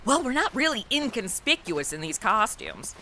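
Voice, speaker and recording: Casting: actress as a blunt, sarcastic young sidekick for a radio spoof, bright, highly expressive. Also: female voice, normal speed, slight background noise, exaggerated voice. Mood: complaining. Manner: snarky, blunt, complaining. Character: sassy, sarcastic modern young woman, reluctant sidekick. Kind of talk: comedy radio play acting.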